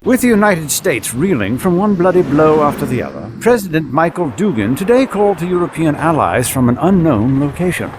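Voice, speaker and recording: Animated newsreader, noisy backdrop. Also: male voice, a little high, brisk, few pauses. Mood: urgent. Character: brisk, urgent television news anchor. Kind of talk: news broadcast.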